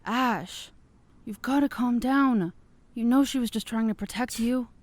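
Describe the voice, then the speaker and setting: A woman acting in an audio drama, playing a calm, soothing young woman with a wry streak. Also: a little high, a little slow, dry recording, lightly acted. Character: calm, teasing young woman, terminally ill.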